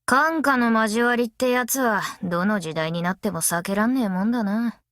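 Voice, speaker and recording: A woman voicing a brash, easygoing, long-lived female master metalsmith in an anime game, with rough, cocky, tomboyish speech. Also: fairly high pitch, moderate pace, fairly bright tone, no room sound, clean studio sound, lightly acted. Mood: casual, teasing. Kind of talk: anime mobile game character voice lines.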